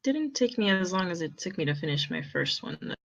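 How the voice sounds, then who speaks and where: A woman playing a proud, guarded teenage boy with a terse, deadpan manner in an amateur audio drama. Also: fairly low pitch, a little fast, lo-fi sound, heavily acted. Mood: modestly proud.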